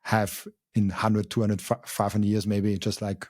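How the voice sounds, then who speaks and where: A man's voice: on the low side, flat delivery, measured pace, darkish tone, dry recording, clean studio sound. A young man from Austria with a German accent hosting a podcast, thinking aloud in casual talk with many fillers and restarts. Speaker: podcast host. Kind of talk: casual conversation.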